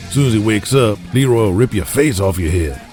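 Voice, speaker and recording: A man's voice: on the low side, animated, brisk, music in the background, lightly acted. A man playing brash, crude 1980s pro wrestlers ribbing each other in an audio drama. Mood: teasing. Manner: crude, cocky locker-room ribbing. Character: brash, foul-mouthed 1980s male pro wrestlers bantering.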